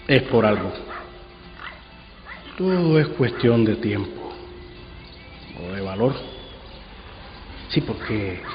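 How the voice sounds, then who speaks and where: A man's voice: mid-range voice, lightly acted. Actor as a brooding Cuban man, muffled. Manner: musing, hesitant, darkly resolute. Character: brooding, troubled adult son haunted by war. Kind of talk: radio drama monologue. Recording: muffled radio playback in hall.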